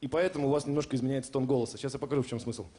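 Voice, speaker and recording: A man's voice: medium pitch, steady tone, racing, mellow. A middle-aged man co-hosting a comedy show, explaining things in a mock-serious, joking way into a handheld microphone. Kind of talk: comic explanation and banter on stage. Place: television studio stage with audience. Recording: stage mic with faint studio noise.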